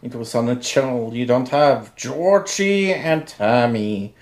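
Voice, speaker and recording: Laid-back podcast co-host, a man with a mid-range voice, slow and halting, teasing. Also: medium pitch. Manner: slow, hesitant, teasing, with many restarts. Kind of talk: casual TV review chat.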